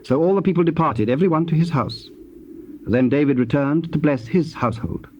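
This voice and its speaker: A man narrating dramatized scripture in a measured, formal storytelling manner. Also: fairly high pitch, expressive, a little mellow. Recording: dull tape with faint noise.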